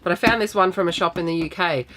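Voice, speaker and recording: An Australian woman hosting a knitting podcast, a bit croaky from a sinus infection, chatting in a rambling, friendly way with many ums. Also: fairly low pitch, fast talker, fairly bright tone, a little hiss or hum. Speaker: podcast host. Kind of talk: casual knitting podcast chat.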